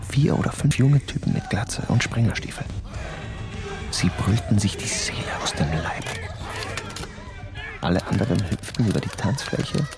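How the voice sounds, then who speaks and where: Male narrator, deep gravelly voice, calm and sober first-person telling. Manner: measured, sober storytelling.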